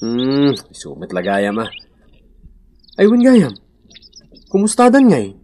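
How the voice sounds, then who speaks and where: An actor playing an excitable, apologetic village father in a radio comedy-drama, speaking expressively with an Ilocano accent. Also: mid-range voice, slow pace. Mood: friendly. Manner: exclamatory, expressive.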